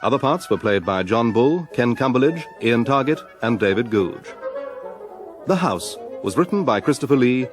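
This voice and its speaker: A man's voice: medium pitch, animated, moderate pace. A man reading scripted cast credits as a radio announcer. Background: brass music.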